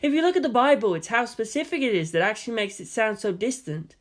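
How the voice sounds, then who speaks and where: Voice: medium pitch, animated, fast, voice pushed up, lightly acted. A narrator voicing an earnest, geeky young male lieutenant who lectures emphatically. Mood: emphatic, lecturing.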